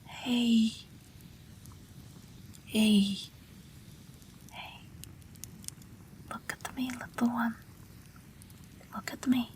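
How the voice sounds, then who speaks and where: A woman playing a gentle, wry, protective night witch in an intimate audio roleplay, speaking softly and breathily, often near a whisper. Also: on the high side, fairly even intonation, slight background noise. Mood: soothing. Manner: slow, soft, tender reassurance.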